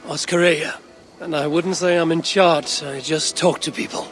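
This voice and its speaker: An actor playing a stern, level-headed Viking clan leader in a video game, speaking in a measured, dry way. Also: male voice, a little high, steady tone, soft background music, natural voice. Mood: calm, wary. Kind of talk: dramatic video game acting.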